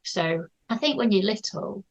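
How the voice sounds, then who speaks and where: Gentle, hesitant woman. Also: medium pitch, lively intonation, a little slow, lo-fi sound. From North Yorkshire, England. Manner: shy, gentle, hesitant with many ums. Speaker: guest artist. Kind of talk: casual interview chat.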